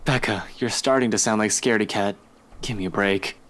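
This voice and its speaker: A man playing a gruff, skeptical police officer in a visual novel, curt and dismissive. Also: lowish voice, brisk, fairly bright tone, natural voice.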